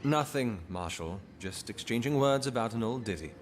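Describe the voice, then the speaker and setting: Man playing an expressive, authoritative inspector. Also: medium pitch, normal speed, a little hiss or hum, close to an everyday voice. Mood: wry, pointed. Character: composed male inspector, authoritative, dryly sharp. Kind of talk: fantasy video game voice acting.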